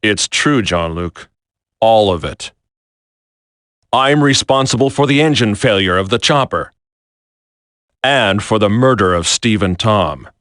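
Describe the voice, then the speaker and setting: An actor playing a grave military commander turned traitor in a video game, solemn, deliberate and resigned to fate. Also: male voice, fairly low pitch, animated, a bit bright, no room sound, clean studio sound. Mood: grim, confessing. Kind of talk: dramatic scripted video game acting.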